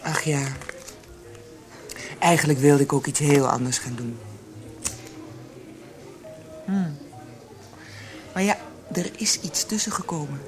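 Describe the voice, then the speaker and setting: An actress playing a blunt, cynical young bar prostitute in a radio play. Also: female voice, sits low, takes it slowly, long pauses, faint music behind. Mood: teasing. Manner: teasing, blunt.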